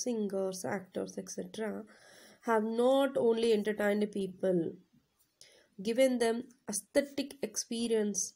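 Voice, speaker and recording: Teacher with a cold, gravelly voice. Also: female voice, medium pitch, mellow. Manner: fillers and checking questions to students. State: has a cold. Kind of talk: classroom lecture, reading then explaining.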